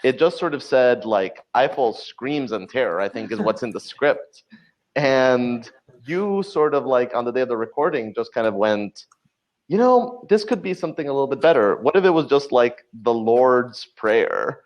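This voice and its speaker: A man's voice: mid-range voice, expressive, normal speed. A man in a casual interview, explaining things as a guest writer and acting out little bits of dialogue. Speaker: podcast guest, audio drama writer. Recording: slightly dull, lo-fi audio.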